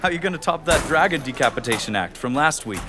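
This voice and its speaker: An actor playing a brash teenage quarterback who teases the people around him. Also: male voice, fairly high pitch, expressive, fast, over music, close to an everyday voice. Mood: cocky. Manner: teasing, brash. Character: cocky teenage star quarterback.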